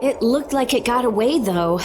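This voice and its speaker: A woman's voice: on the high side, lively intonation, normal speed, noisy background, lightly acted. A woman playing an earnest, emotional young heroine in a dramatic video game. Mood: puzzled.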